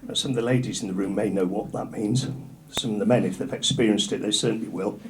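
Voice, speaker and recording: A middle-aged man from North Yorkshire with an English accent, doing a stand-up routine in a comedy club, dropping his punchlines low and dry. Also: fairly even intonation, fast, slight background noise, low-quality recording. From North Yorkshire, England. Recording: live club with loud crowd laughter. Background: audience laughter.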